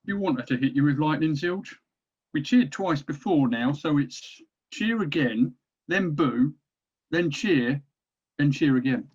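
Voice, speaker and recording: A man's voice: on the high side, lively intonation, unhurried, darkish tone, slightly rough, lo-fi sound. A man playing a blunt, exasperated orc army captain with a matey, bossy manner in a fantasy comedy read.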